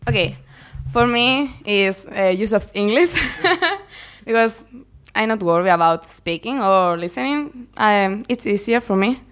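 A young woman whose first language is Spanish, speaking halting learner English in a casual interview, with many fillers and frequent laughs. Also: mid-range voice, fairly bright tone.

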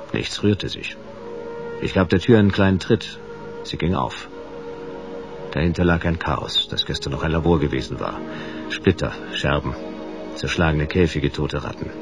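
An actor playing a hard-boiled private detective in a radio play, wry and unruffled, with a laconic, sardonic delivery. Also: male voice, very deep voice, vocal fry, heavily acted. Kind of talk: radio play dialogue and noir first-person narration. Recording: radio play with music underneath.

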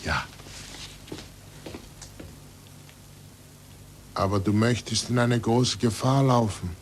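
A man acting a weary, reflective old blind scientist in a 1940s German radio drama, measured and declamatory, sinking to a near-whisper. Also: close to an everyday voice. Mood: guarded, weary. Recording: noisy 1940s radio recording with rumble.

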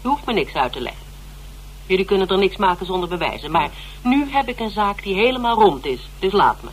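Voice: mid-range voice, big pitch swings, brisk, lightly acted. A determined investigator played by a radio drama actor speaks in a middling register. The delivery is persuasive and insistent, full of repetitions and tag questions, over light hum and noise. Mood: insistent, pleading. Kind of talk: Dutch radio drama acting. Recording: noisy old radio drama recording with hum.

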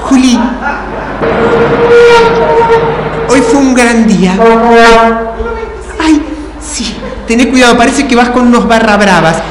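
A woman's voice: fairly high pitch, moderate pace, close to an everyday voice. A woman with a Rioplatense Argentine accent playing a bossy, scheming, chatty friend in an improvised stage comedy. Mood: excited. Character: bossy scheming female friend, an excitable amateur inventor. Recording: echoey hall, clearly noisy.

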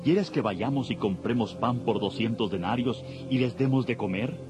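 A man's voice: mid-range voice, moderate pace, music in the background, lightly acted. A man playing a doubtful disciple questioning his master in a dramatized audio Bible. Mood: incredulous.

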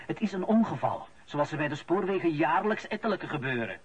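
A man's voice: a little high, sing-song, fairly quick, highs cut off. An actor playing an indignant, defensive male suspect who argues back with a Flemish accent in a radio play. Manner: argumentative, protesting. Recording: radio play with clear background noise.

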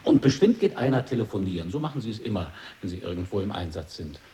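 A man's voice: sits low, big pitch swings, quick pace, mellow, a little hiss or hum. An actor playing a dry, boastful man who tells absurd tales in colloquial, matter-of-fact dialogue for a radio play. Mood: explaining calmly.

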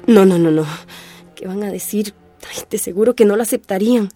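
A woman's voice: medium pitch, fairly quick, warm tone, soft background music, lightly acted. A woman acting an anguished, lonely young pregnant woman in a radio soap opera, with an emotional, confiding delivery full of sighing exclamations. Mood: anxious.

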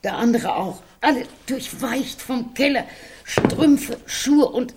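A woman's voice: on the high side, animated, slow, noisy background. An actress playing a practical, impatient older woman in a literary radio drama, curt and matter-of-fact.

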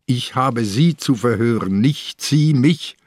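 An actor playing a sick, weak old police commissioner in a radio crime drama, terse and weary but stubbornly defiant. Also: male voice, medium pitch, expressive, takes it slowly, a little mellow, dry recording, studio quality. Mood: guarded, defiant. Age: older.

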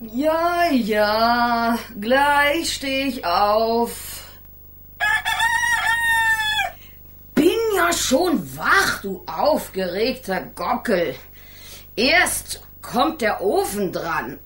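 An actress playing a contented, homely country hen-keeper in a children's radio play, talking to herself in a singsong way. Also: female voice, on the high side, big pitch swings, extremely slow, a bit bright, heavily acted. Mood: drowsy, grumbling. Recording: noisy, with clicks.

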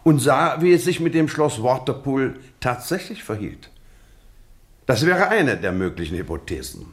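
Actor as shrewd detective, sweeping, animated intonation. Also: male voice, mid-range voice, close to an everyday voice. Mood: probing, reasoning. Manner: formal, measured, insinuating questioning. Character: shrewd, probing male detective, polite and relentless. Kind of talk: scripted radio drama dialogue.

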